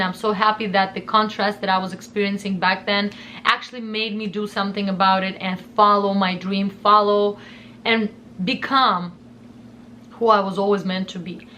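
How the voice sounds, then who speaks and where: A woman's voice: mid-range voice, fairly even intonation, normal speed, sharp tone, a little hiss or hum. A young woman from Russia with a Russian accent, telling a personal story in an earnest, emotional way and often repeating herself. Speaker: vlogger.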